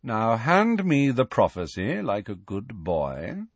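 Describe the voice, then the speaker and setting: Slow sneering posh villain, guy doing the voice, low-ish and husky, dead dry. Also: wildly swooping pitch, no echo, exaggerated voice. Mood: cold contempt. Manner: drawling and sneering. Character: cold, arrogant aristocratic male villain.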